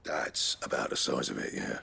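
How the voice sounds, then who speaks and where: An actor playing a gruff, blunt master blacksmith in a video game, grumbling in a rough, husky British voice. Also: male voice, moderate pace, bright, forceful tone, close to an everyday voice. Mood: preoccupied. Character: gruff, grudging, foul-mouthed master blacksmith.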